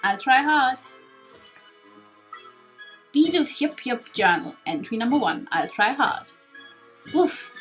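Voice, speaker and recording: A woman's voice: sits high, big pitch swings, takes it slowly, long pauses, faint music behind, full character voice, poor audio. A woman voicing an earnest, self-deprecating, folksy bumbling creature reading its journal in a video game.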